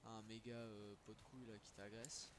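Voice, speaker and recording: A man's voice: lowish voice, flat delivery, normal speed, heavy background noise. A young man streaming a game, chatting casually and swearing freely in slangy talk. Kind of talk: casual gaming chat.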